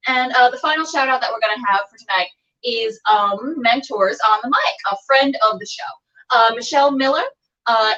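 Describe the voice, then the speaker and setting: A woman's voice: on the high side, a bit bright, far from the mic, lo-fi sound. A woman hosting a casual livestreamed show, chatting and making announcements in a gushing, effusive way. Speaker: show host.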